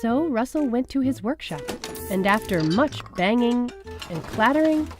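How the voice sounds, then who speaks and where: A woman's voice: on the high side, expressive, normal speed. Woman reading children's picture books aloud over music. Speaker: storybook reader. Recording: music under the voice. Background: background music.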